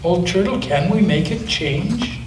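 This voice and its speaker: A man's voice: sits high, expressive, a little slow, slight background noise, pitched above the performer's own voice. A man voicing a timid little girl. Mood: earnest. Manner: raised, hesitant and earnest. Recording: echoey church from a distance.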